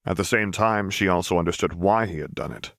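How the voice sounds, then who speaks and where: A man's voice: low-pitched, brisk, vocal fry, a bit bright, no echo, clean studio sound. A man reading scripted fiction as an audiobook narrator.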